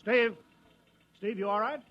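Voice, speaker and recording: Protective rocket-base controller, clipped orders, dull old radio broadcast sound. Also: male voice, high voice, fairly bright tone. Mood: anxious. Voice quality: brisk, clipped instructions. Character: earnest male mission controller, protective and anxious. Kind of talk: 1950s radio drama acting.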